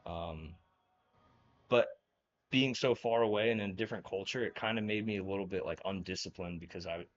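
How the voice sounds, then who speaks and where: A young man from New Jersey tells long, meandering stories as an interview guest. His voice sits low, and he leans on fillers and laughs between thoughts. The audio is dull and lo-fi. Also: brisk. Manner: rambling, filler-heavy, laughs often. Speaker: guest, former college wrestler. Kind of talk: casual interview storytelling.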